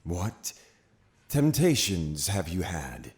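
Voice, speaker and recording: A man's voice: low voice, big pitch swings, slow pace, a little mellow, heavily acted. An actor playing a formal, probing witch-trial interrogator in an audio drama, accusatory in manner. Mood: formal accusing.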